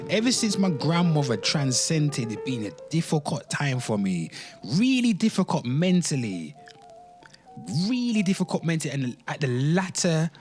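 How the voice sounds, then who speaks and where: A man speaking casually and emphatically in an off-the-cuff rant, with slang, swearing and repeated phrases, talking straight to his listeners. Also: medium pitch. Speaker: host of a pop culture commentary show. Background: music.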